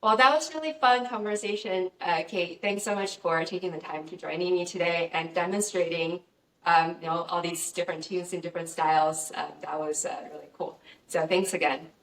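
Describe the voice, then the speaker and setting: A woman's voice: lowish voice, brisk, fairly bright tone, roomy sound, far from the mic, a little hiss or hum, poor audio. A woman interviewer asking questions over a video call, often hesitating with fillers.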